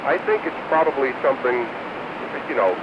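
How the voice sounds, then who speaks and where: A man's voice: mid-range voice, fairly quick. Man on muffled, very noisy radio. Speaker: radio operator. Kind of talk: casual two-way radio chat. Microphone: radio transceiver microphone. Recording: muffled two-way radio with loud static.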